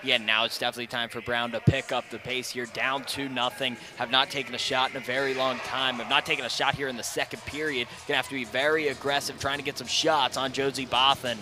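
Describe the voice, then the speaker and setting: A man giving relaxed, analytical hockey color commentary from an arena booth on a headset mic, often opening with "yeah". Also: medium pitch, fast, few pauses, over music.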